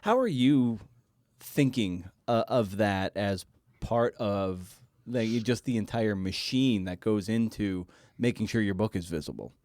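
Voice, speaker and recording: Low-voiced man hosting a podcast, dry close sound. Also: lively intonation, unhurried, darkish tone, no echo. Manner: wry, jokey asides and interjections. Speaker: podcast host. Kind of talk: casual, chatty podcast intro and interviewing.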